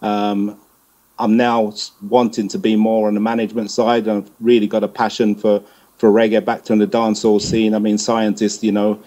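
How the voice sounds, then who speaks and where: Low-voiced middle-aged man, halting delivery. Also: little pitch movement, dark tone. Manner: hesitant, repeats words as he goes. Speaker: guest, music promoter and manager. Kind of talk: conversational interview answer.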